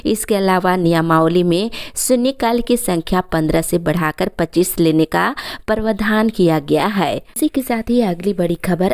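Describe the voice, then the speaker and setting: A woman's voice: lowish voice, a little mellow. A woman presenting the news in a scripted, headline-by-headline narration.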